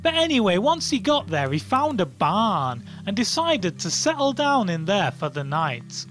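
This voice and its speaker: Animated bedtime storyteller with music behind. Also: mid-range voice, unhurried. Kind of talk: storybook narration, informal and comic.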